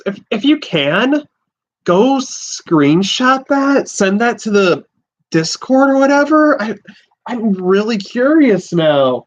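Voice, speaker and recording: A man in his twenties from the USA, hosting a livestream from a small room at home, exclaiming and swearing with halting restarts. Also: high voice. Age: 20s. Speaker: podcast host livestreaming. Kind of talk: excited casual livestream chat. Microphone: laptop and handheld phone. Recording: dull lo-fi stream audio.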